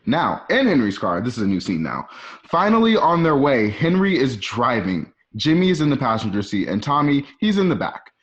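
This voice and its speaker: A man's voice: mid-range voice, very animated, moderate pace. A man narrating an amateur radio play, reading out screenplay stage directions. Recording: dull lo-fi home recording.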